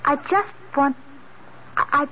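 An actress playing an anxious, soft-hearted woman in danger in a classic radio drama, hesitant and pleading. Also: sits high, slow. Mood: wistful, reluctant. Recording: tinny, hissy vintage radio broadcast.

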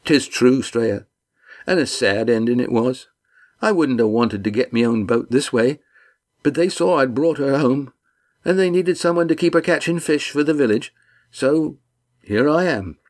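A man's voice: mid-range voice, lively intonation, normal speed, voice pushed up, lightly acted. A man voicing a big, hearty red-haired fisherman skipper with boisterous, folksy old-fashioned speech.

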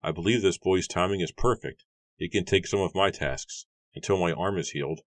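A man's voice: low voice, fairly quick, dry recording, natural voice. A man voicing an injured young man, the farmer's nephew, who speaks dryly and firmly. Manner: dry, firm.

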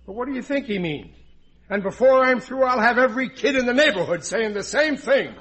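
A man's voice: very high-pitched, lively intonation, fairly quick, heavy background noise. An actor playing a gullible, self-satisfied middle-aged working-class father in a folksy, blustering 1940s radio comedy style. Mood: blustering, insistent. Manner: blustering, folksy, ungrammatical speech. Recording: old radio recording.

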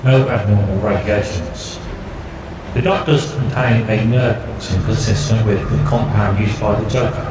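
An actor playing a polite, deferential elderly butler hologram in an audio drama, speaking formally and with measure. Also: male voice, lowish voice, moderate pace, very noisy, natural voice. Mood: courteous. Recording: echoey, loud music behind.

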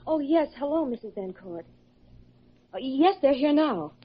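A woman's voice: sits high, expressive, unhurried. A woman acting a worried, helpful young woman in a classic radio drama. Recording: muffled old radio recording.

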